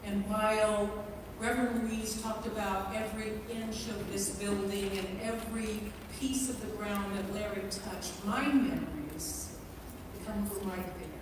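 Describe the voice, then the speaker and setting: A woman speaking at a memorial service in a devotional style, with deliberate, reflective phrasing. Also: mid-range voice, fairly even intonation. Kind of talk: devotional reading and memorial tribute. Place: large reverberant indoor church space. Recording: echoey hall, distant mic, clear background noise.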